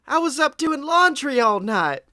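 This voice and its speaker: A man's voice: extremely high pitch, sing-song, a little slow, bright, forceful tone, no room sound, clean studio sound, voice pushed up, full character voice. A man playing a wrestler's defensive family in a plaintive comic reading. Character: wrestler's family, child and mother, explaining themselves defensively.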